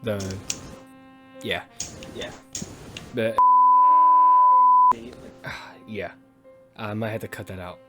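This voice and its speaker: A man's voice: fairly low pitch, steady tone, drawn-out words, pauses often, bright, forceful tone. A man hosting a podcast in casual chat, rambling along with slang and plenty of swearing. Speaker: podcast host. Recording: music playing behind the voice.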